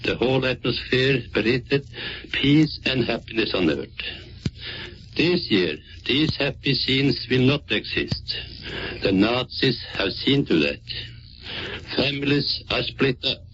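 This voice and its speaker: A man's voice: medium pitch, lively intonation, takes it slowly, a bit bright. A Norwegian man with a Norwegian accent, a serviceman delivering a scripted wartime radio address from the 1940s. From Norway. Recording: noisy muffled 1940s radio recording.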